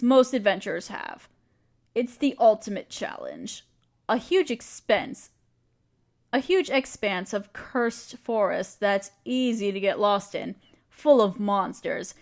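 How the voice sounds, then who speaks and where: An earnest female necromancer with a grand plan, acted by a woman for a roleplay audio. Her voice sits a little high and moves with very animated intonation. She talks straight to the listener, conversational and explanatory, with a caring, reassuring manner. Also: exaggerated voice.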